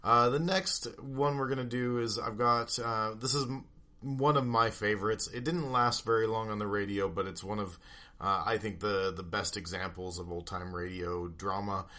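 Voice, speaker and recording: A man casually hosting a radio show, talking in an informal, halting, chatty way. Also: fairly low pitch, steady tone, sharp tone. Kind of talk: casual hosting between old radio episodes.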